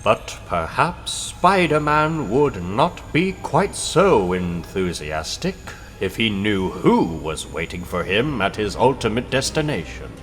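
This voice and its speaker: A man voicing a solemn, dramatic narrator of a comic book story, in a measured, portentous way. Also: on the low side, big pitch swings, faint music behind, pitched below the performer's own voice. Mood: measured, ominous. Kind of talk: scripted narration.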